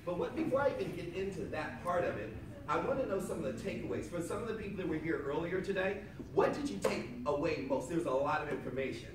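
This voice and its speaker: A man giving a motivational seminar talk to a room of people, loud and emphatic, repeating his key lines back to the crowd. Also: fairly high pitch, lively intonation, fast, hardly any pauses. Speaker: seminar speaker. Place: hotel conference room with seated audience. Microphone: far camera at back of room, no microphone. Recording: echoey room from far off with audience noise.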